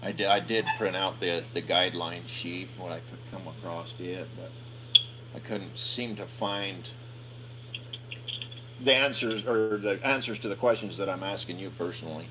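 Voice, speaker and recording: A man's voice: deep voice, normal speed, very noisy. A man working in construction, asking questions on a phone call and often restarting his sentences. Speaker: construction worker calling a safety agency.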